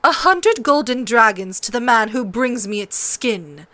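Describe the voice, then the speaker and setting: Woman as queen, haughty, cold, scheming, cutting, imperious, raised pitch, audiobook character. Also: animated, a little slow, sharp tone, no echo. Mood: demanding.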